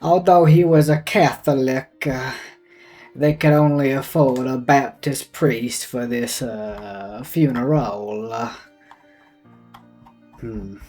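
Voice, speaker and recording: Bumbling funeral priest, female actor: low, dark voice, halting and solemn, music underneath. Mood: solemn, fumbling. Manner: halting, fumbling.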